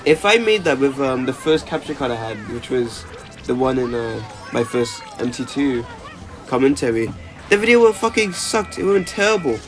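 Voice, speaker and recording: A man's voice: mid-range voice, very animated, normal speed, faint music behind, some chatter behind. A young man talking casually in a vlog, rambling with lots of stammered restarts, "like" fillers and casual swearing. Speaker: YouTuber.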